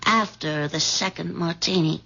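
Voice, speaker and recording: An American actress playing a bold, scheming office mistress in a 1970s radio drama, coaxing and commanding. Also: female voice, lowish voice, a little slow, gravelly, heavy background noise. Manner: coaxing, commanding, drawling for emphasis. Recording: dull vintage radio broadcast recording.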